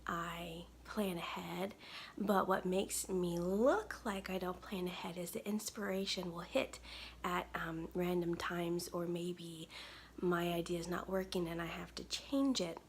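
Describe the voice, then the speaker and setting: A woman in her thirties with a soft, weak, breathy voice, chatting casually through a makeup tutorial at home to a close webcam. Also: fairly low pitch, steady tone, a little slow, slight background noise. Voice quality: gravelly, breathy. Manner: soft-spoken, chatty, many ums. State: weak, tired voice. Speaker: makeup brand owner. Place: home studio, indoors.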